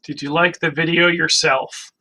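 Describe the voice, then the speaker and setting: A man with a heavily gravelly voice hosts a casual livestream. He talks bluntly, jokes, and swears freely. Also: fairly high pitch, fairly even intonation, moderate pace, sharp tone, poor audio. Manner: blunt, profane, joking. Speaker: livestream host.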